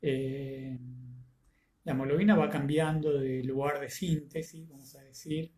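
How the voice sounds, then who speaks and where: A man with a Rioplatense Spanish accent, congested with a cold and fever, greeting students by name before a live online lecture, with many halting fillers. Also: fairly high pitch, steady tone, dark tone, reverberant. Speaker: teacher.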